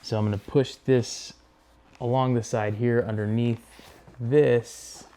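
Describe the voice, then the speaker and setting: Man with a mellow, low-key tutorial voice. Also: flat delivery. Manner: unhurried, talks through each step while working with his hands. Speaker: host of a motorcycle gear install video. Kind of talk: casual hands-on tutorial narration.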